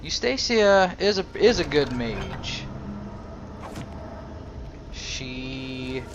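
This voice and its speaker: A man giving role-play commentary on a video game, musing and halting as he thinks aloud. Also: medium pitch, big pitch swings, noisy background. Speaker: gaming video host. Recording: game music behind the voice. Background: video game music and effects.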